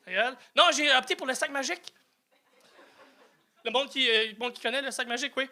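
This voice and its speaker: A man's voice: extremely high pitch, brisk, pushed and bright. A man, doing a self-mocking stand-up set with stammered restarts and swearing. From New Brunswick, Canada. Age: 30s. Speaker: stand-up comedian.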